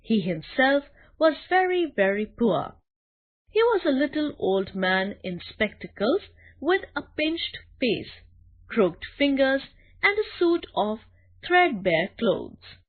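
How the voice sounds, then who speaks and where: Female storyteller, children's story narration, highish pitch, very animated intonation, deliberate delivery. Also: phone-quality sound.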